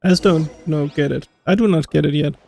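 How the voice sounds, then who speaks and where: A woman streamer reacts casually and bluntly to a game. Her voice is low and gravelly with lively swings, muttering over clear game music. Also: brisk. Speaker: gaming streamer reacting to gameplay. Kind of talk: casual Let's Play commentary.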